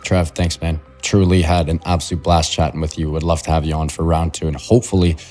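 A young man hosting a podcast, upbeat and friendly, talking into a mic in front of him in a bright room. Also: very deep voice, flat delivery, brisk, faint music behind. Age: young adult. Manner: upbeat, friendly, hype-y host banter. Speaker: podcast host. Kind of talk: podcast interview and outro.

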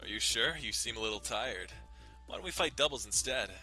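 A man's voice: lowish voice, lively intonation, brisk, bright, forceful tone, faint music behind, poor audio. A man voicing a teasing, challenging young hero trainee and sparring partner in a visual novel.

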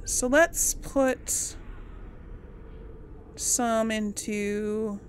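A woman's voice: fairly high pitch, drawn-out words, a little hiss or hum. A woman chatting casually through a game playthrough, thinking aloud to herself as she plays. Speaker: gamer hosting a role-play playthrough.

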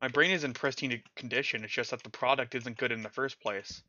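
A man's voice: medium pitch, fairly even intonation, fairly quick, sharp tone, no echo, highs cut off. A man streaming a game, chatting casually and mocking himself, with a slight stutter at times. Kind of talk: casual chat while playing a game.

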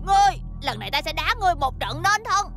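A woman's voice: very high voice, very animated, quick pace, fairly bright tone, faint music behind, full character voice. A woman voicing a spirited, heroic young boy in a cartoon dub, emphatic and determined. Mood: defiant.

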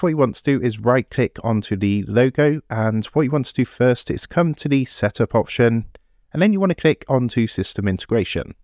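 A man walks viewers through a software setup one click at a time, with a mid-pitched voice and an easygoing, repetitive way of explaining. The sound is close and dry, with almost no room in it. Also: dry recording. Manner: steady step-by-step instructions, repeats "what you wanna do". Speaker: tech tutorial presenter. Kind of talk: screen-recorded software tutorial. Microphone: desktop USB microphone.

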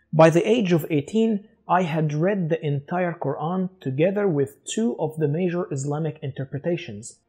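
A man in his forties from Egypt, Arabic native, speaking English with an Egyptian accent, host of his own channel, delivering an earnest, measured talk to camera on a lapel mic in a studio. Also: a little high, a little mellow, slightly rough. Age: 40s. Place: studio with dark backdrop.

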